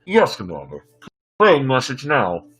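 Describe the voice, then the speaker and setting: A man's voice: medium pitch, huge pitch swings, measured pace, fairly bright tone, breathy edge, heavily acted. A man voicing an obedient, formal starship computer in a sci-fi story reading. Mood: dutiful.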